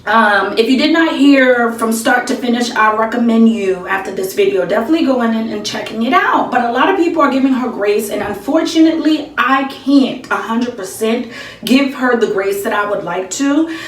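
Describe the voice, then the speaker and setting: American lady doing emphatic commentary on camera, kinda high voice, roomy echo. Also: moderate pace. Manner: emphatic, repeats phrases for effect. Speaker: YouTube commentator. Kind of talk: casual opinionated commentary to camera. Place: small indoor room with bare walls. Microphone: camera a few feet away. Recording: echoey room, distant mic.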